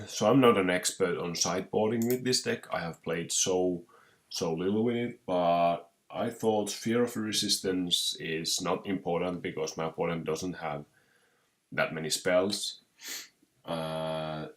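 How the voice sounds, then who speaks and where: A young man with a Finnish accent who is sick with a cold, commentating his own card game match with many pauses, restarts and throat clearing. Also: low voice. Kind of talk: casual gameplay commentary.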